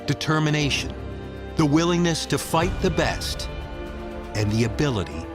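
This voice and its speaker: A man delivering scripted, dramatic promo narration in a solemn, measured, grandiose manner. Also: on the high side, animated. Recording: music bed under the voice. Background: music.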